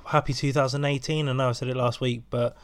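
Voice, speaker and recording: A man's voice: mid-range voice, little pitch movement, fast talker, clean tone, no room sound. A man hosting his podcast, sounding bunged up from a cold and rambling with plenty of ums as he chats. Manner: rambling, many ums and restarts. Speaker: podcast host. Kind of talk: casual solo podcast intro.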